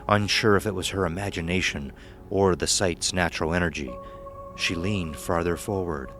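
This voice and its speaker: A man's voice: low-pitched, fairly bright tone. A man reading a scripted horror story as narrator.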